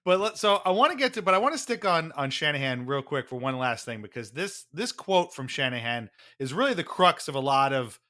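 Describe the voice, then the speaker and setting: A man co-hosting a podcast, explaining things in casual sports talk with animated, wry asides. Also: a little high, brisk, hardly any pauses. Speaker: podcast co-host.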